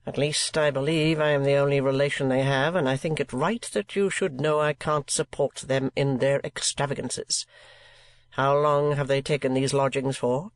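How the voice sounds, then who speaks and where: A woman voicing a blunt, stern gentleman in a storybook reading, speaking in a clipped, deliberate way. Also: rumbling low, steady tone, no echo.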